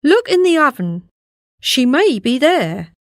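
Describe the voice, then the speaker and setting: Helpful baker man, acted by a woman, confident, raised pitch, dry storybook sound. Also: big pitch swings, takes it slowly, dry recording, full character voice. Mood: sly coaxing. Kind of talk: storybook character voice.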